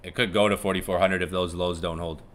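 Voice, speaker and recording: Bright-voiced young man rambling on a live stream. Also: low voice, little pitch movement, fast talker, slight background noise. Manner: rambling, chuckling, swears casually, repeats himself. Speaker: live-stream trading host. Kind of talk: casual live-stream commentary.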